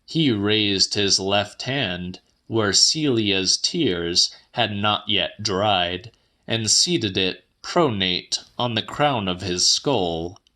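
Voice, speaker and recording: A man reading literary fiction as an audiobook, with deliberate, precise and slightly dramatic delivery. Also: lowish voice, bright, forceful tone. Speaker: audiobook narrator.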